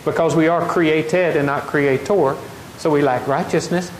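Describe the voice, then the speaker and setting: A middle-aged man preaching a lively sermon, often asking the congregation questions. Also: a little high, measured pace. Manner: animated, gestures widely, asks the congregation questions. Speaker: pastor. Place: large wooden open-air church hall. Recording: echoey hall, faint noise.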